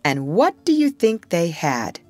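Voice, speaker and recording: A woman voicing a young girl who tells a bedtime story to a crowd of boys in a gentle, motherly tone. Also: lowish voice, very animated, unhurried, dry recording, soft background music, pitched above the performer's own voice. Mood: storytelling.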